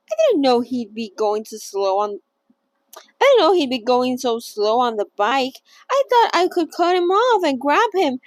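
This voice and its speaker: A woman's voice: high voice, no echo. A woman reading out the lines of a frustrated young man arguing back, in her own voice.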